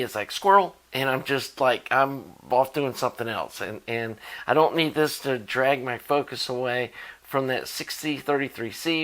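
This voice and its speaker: Man, sixties, middle pitch, informal rambling delivery, casual hobbyist vlog talk. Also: a bit bright. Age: 60s. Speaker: electronics hobbyist and channel host.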